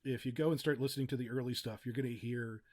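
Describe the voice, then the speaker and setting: A man's voice: mid-range voice, fairly even intonation, quick pace, a little mellow. A man speaking casually as a podcast guest, explaining audio production in chatty, meandering asides. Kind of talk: casual interview chat, giving practical advice.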